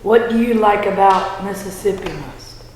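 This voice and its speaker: A young woman interviewer reads short prepared questions in a soft-edged, mellow voice at an average pitch. A faint noise sits behind her. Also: normal speed, low-quality recording.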